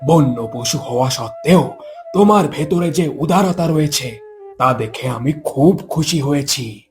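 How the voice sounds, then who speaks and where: A man voicing a wise, benevolent forest holy man in a cartoon story, gentle and solemn. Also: on the high side, unhurried, soft background music, close to an everyday voice. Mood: approving.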